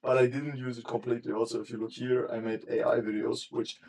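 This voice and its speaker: A man's voice: mid-range voice, little pitch movement, quick pace, vocal fry, darkish tone. A young man streaming casually as he works, thinking aloud in loose, rambling sentences that often trail off. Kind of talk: casual live-stream chat while working. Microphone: desk mic.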